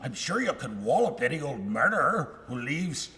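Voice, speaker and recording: A man's voice: medium pitch, sing-song, moderate pace, pushed and bright. A man playing a blunt, wary father with a folksy, insinuating, theatrical way of talking in a live radio melodrama. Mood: warning. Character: blunt, wary father sizing up his daughter's suitor. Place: live stage in a ballroom. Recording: reverberant live hall.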